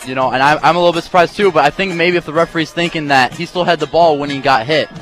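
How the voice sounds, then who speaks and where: An American man works as color commentator on a football broadcast. He talks quickly in a slightly high voice, explaining plays in a casual, conversational way. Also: fairly bright tone, soft background music. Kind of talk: live football analysis, conversational.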